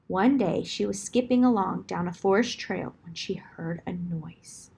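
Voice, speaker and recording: Slow, creaky-voiced woman telling a fairytale. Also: fairly low pitch, darkish tone. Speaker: storyteller. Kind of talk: children's fairytale narration, expressive storybook reading.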